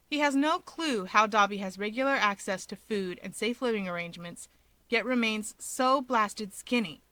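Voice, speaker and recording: Bright-voiced woman narrating a story. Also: medium pitch, no echo. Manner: wry, conversational. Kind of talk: expressive narration of fan fiction.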